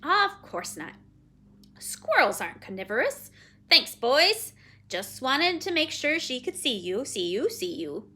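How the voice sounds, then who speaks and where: A woman voicing a bossy, scornful female pet bird in a children's story, brisk and exasperated, repeating the ends of phrases. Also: high-pitched, sing-song, bright, forceful tone, pitched above the performer's own voice, exaggerated voice.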